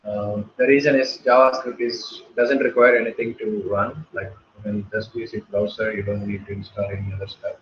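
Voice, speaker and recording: A man's voice: on the low side, flat delivery, roomy sound, dull audio, a little hiss or hum. Indian man teaching a coding workshop. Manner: casual, halting, many fillers and restarts. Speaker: workshop guest speaker. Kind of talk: live online coding workshop.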